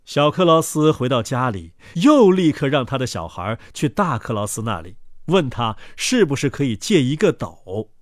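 A man's voice: mid-range voice, very animated, normal speed, hardly any pauses, no echo, studio quality. A man narrating a children's fairy tale as a dramatic storyteller.

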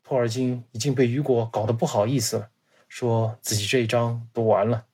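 A man's voice: medium pitch, little pitch movement, a little fast, darkish tone, husky. A man giving a scripted, dramatized narration like a radio play, acting out quoted dialogue as he tells it. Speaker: guest storyteller.

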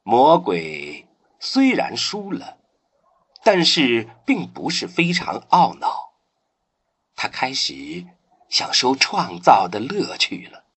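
A man's voice: medium pitch, huge pitch swings, slow, vocal fry, fairly bright tone, slightly rough. A man giving scripted narration for a radio drama in a theatrical storytelling manner. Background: music.

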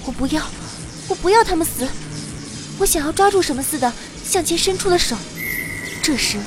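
High-voiced young fantasy heroine. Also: female voice. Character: sensitive young heroine narrating her fantasy adventure. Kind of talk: dramatic scripted first-person narration.

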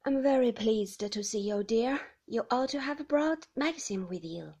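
A woman's voice: a little high, lively intonation, a little slow. A woman voicing a frail, blind grandmother in her eighties who speaks fondly and a little doddery. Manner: fond, doddery, gently complaining.

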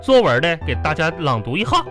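A woman's voice: low voice, very animated. A woman playing an exasperated, nagging primary school teacher, drawing out words as she lectures in a radio comedy sketch. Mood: lecturing. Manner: drawn-out, lecturing, sing-song delivery. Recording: music behind the voice.

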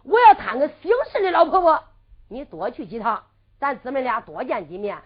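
A woman playing a sharp-tongued servant girl. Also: high-pitched, very animated, slightly rough, full character voice. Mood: teasing. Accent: Henan dialect. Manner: quick, scolding sing-song banter. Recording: muffled lo-fi recording.

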